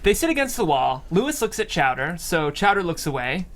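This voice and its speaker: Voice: medium pitch, big pitch swings, a little fast. A narrator reading out stage directions in a scripted style at a comedy table read.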